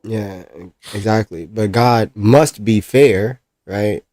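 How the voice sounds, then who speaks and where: A man's voice: on the low side, slow, darkish tone, dry recording, clean studio sound. A man making the case for his faith in a live online debate, explaining step by step and checking in with "right?".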